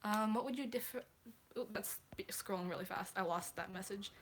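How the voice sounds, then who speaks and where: A woman's voice: mid-range voice, a little fast, a little hiss or hum. A teenage girl hosting a casual livestream, answering viewer questions in a chatty, apologetic way with many hesitations. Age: teens.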